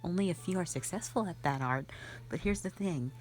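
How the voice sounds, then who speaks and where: A woman's voice: fairly low pitch, brisk, darkish tone, faint music behind, lightly acted. A woman playing a rambling, easygoing, flirty magic mentor in a fantasy roleplay audio drama, talking in a hesitant, offhand way full of asides. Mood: chatty, musing.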